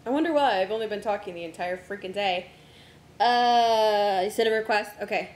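Hoarse young woman streaming games. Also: medium pitch, moderate pace, darkish tone, reverberant. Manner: distracted, talks to herself while navigating menus. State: losing her voice, hoarse. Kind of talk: casual live-stream chat with friends.

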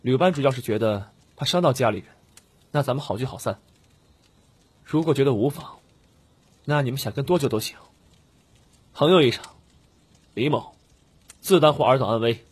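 Man doing young cultivator hero, audiobook, frank, firm, weary, husky. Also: medium pitch, animated, moderate pace, long pauses, voice pushed up. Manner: plain-spoken, firm. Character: weary, resolute young male wandering cultivator, frank and responsible.

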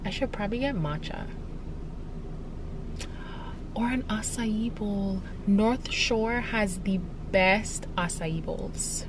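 Chatty young woman filming in her car. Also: medium pitch. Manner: chatty, self-mocking, trailing fillers and restarts. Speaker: vlogger. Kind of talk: casual vlog chat. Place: inside a parked or moving car. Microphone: camera on the dashboard. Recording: distant mic with loud car noise.

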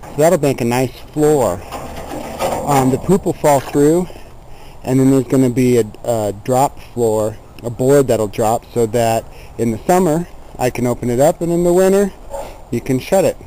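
Mellow-voiced man on a noisy outdoor recording. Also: mid-range voice, unhurried. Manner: plainspoken, explanatory. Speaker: DIY builder showing a chicken coop. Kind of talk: casual handheld walkthrough narration. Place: outdoors in a yard beside the coop. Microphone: handheld camera mic, speaker behind the camera. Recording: noisy outdoor handheld camera audio.

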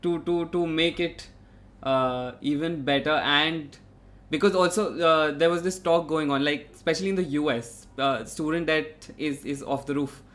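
A man in his thirties with an Indian accent, Sindhi his first language, hosting a casual live chat in a relaxed, halting way full of fillers over a headset. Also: fairly high pitch. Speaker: host. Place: studio room with red wall. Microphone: headset on video call.

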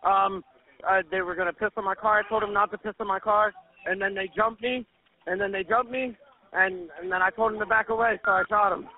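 A man's voice: high-pitched, fairly even intonation, brisk. A distressed man making a panicked emergency call, speaking frantically and repeating himself. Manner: frantic, repetitive. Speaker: emergency caller. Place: on a phone in a parking lot. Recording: tinny phone line, radio-like recording.

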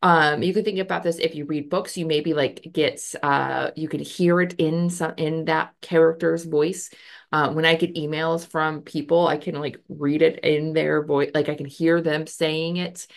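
Woman, thirties, lowish pitch, chatty educator style with fillers, recorded on a video call. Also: fairly even intonation, normal speed, creaky. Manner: conversational, many fillers, gestures with her hands. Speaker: educator explaining research. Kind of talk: casual explanatory lecture. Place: home office with bookshelf. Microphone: webcam on a video call.

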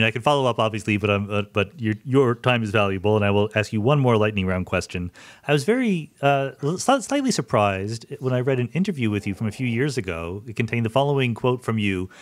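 A man hosting a podcast interview, asking questions politely with light hesitations. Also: lowish voice. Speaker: podcast host. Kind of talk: conversational interview.

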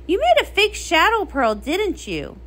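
A woman's voice: very high voice, wildly swooping pitch, measured pace, fairly bright tone, full character voice. Woman acting an imperious, accusing sea pony queen. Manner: haughty, commanding.

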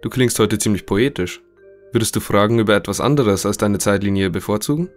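A man's voice: lowish voice, fairly quick, faint music behind, lightly acted. A man playing a courteous, inquisitive scientist conducting an interview in an audio drama, matter-of-fact. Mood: probing.

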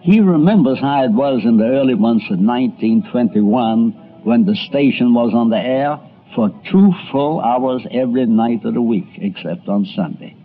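A man's voice: mid-range voice, animated, moderate pace, few pauses. A man with an American accent narrating a scripted radio documentary. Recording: muffled, music underneath. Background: music.

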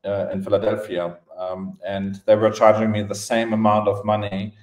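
A man with a German accent telling his story casually as a podcast guest, in halting phrases with repeated words. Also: on the low side, flat delivery. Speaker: podcast guest, events entrepreneur. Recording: roomy, echoing podcast audio.

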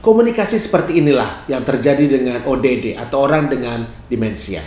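A middle-aged man presenting instructions to camera in an expressive, clearly phrased storyteller's delivery. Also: mid-range voice, brisk. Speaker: storyteller and caregiver giving a tutorial. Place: small bright living room, indoors, seated on a sofa. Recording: echoey room.

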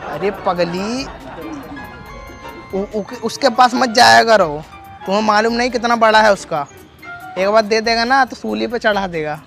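A young man with a noticeably high voice and a Bhojpuri accent talks in a cheeky, rustic, teasing way, as a prankster chatting on the phone. He is recorded outdoors, with music underneath. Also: unhurried, vocal fry, long pauses, fairly bright tone, a faint crowd. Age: young adult. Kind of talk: staged loud phone chat for a street prank. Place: outdoors in a leafy park.

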